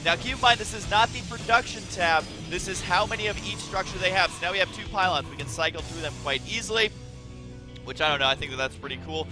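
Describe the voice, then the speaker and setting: A man commentating a video game match from his room, excitable and quick to exclaim, his voice raspy from just waking up. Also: high voice, over music. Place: his own room at home.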